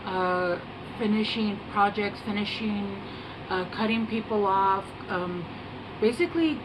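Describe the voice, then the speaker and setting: A woman giving a casual tarot reading to camera, soft-spoken and meandering, with many pauses and fillers. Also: mid-range voice. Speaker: tarot reader. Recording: home recording with air-conditioner hum.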